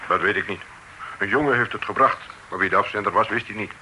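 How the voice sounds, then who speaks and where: An actor playing a matter-of-fact servant in a Dutch radio drama. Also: male voice, on the low side, fast talker, close to an everyday voice. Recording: noisy old radio recording.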